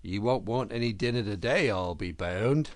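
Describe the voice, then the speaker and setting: A man voicing a kindly older badger in a storybook, quiet and homely, with a simple, fatherly manner. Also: lowish voice, lively intonation, normal speed, no room sound, voice pushed down, close to an everyday voice. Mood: kindly, firm.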